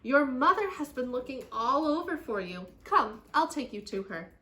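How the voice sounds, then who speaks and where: A woman's voice: high voice, big pitch swings, normal speed, bright, forceful tone, exaggerated voice. A woman voicing a helpful bird in a children's storybook, speaking in emphatic exclamations. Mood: urgent, friendly. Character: helpful talking red and blue bird, exclaiming.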